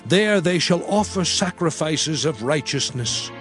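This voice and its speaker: A man playing Moses, a solemn patriarch, in a declamatory, measured dramatized scripture reading. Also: fairly high pitch, animated, a bit bright, soft background music. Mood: solemn, exalted.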